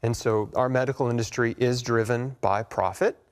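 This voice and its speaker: A man's voice: mid-range voice, steady tone, normal speed, clear, resonant tone. A man speaking as an interview guest, explaining things in an earnest, plain-spoken way. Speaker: interview guest, health author. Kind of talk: explanatory talk show interview.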